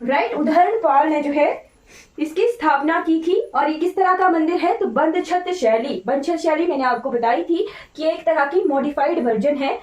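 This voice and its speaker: An Indian woman teacher giving an informal online class lecture, mixing in English terms, repeating points and checking in often, her voice slightly off. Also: sits high. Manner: repetitive, instructive, often checking with "right?". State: voice slightly off today. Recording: roomy echo, distant microphone.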